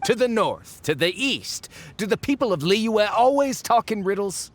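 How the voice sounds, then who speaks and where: A man's voice: high-pitched, animated, moderate pace. A man voicing an impatient, greedy male treasure hunter who talks in a dismissive way in a game cutscene. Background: faint game music.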